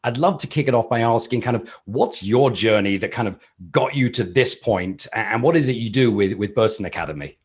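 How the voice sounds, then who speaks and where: Husky low-voiced man hosting a webinar. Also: fairly quick, few pauses. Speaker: webinar host, company chief executive. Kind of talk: prepared webinar introduction.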